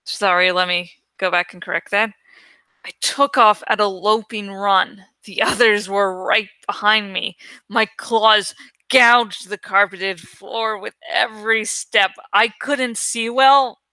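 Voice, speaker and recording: A woman reading aloud as an amateur audiobook host, expressive and informal in her delivery. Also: medium pitch, smooth, no room sound. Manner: informal, self-correcting. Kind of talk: expressive story narration with casual asides.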